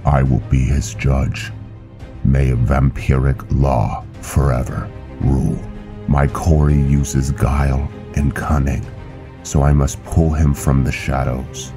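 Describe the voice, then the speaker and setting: A man playing a stern, honor-bound vampire knight officer in a game roleplay, speaking in a formal, solemn, measured way. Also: very deep voice, flat delivery, over music, full character voice. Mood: solemn, calculating. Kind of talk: scripted roleplay narration and game dialogue.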